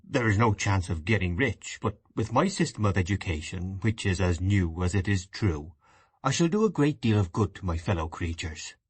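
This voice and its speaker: An earnest, idealistic young man speaks in a low, husky voice, as a man puts him on. He sounds steady and grave. The recording is close and dry. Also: normal speed, no echo. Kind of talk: audiobook dialogue reading.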